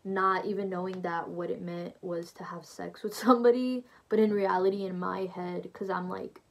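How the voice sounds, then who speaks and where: A woman's voice: fairly low pitch, fairly even intonation, moderate pace, vocal fry. A young woman whose first language is Portuguese, chatting casually to camera in her bedroom about her faith testimony, full of "like" and "you know". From Brazilian family. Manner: chatty, lots of "like" and "you know", laughs lightly. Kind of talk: casual personal storytelling to camera. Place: small bedroom, indoors. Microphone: phone or camera close in front.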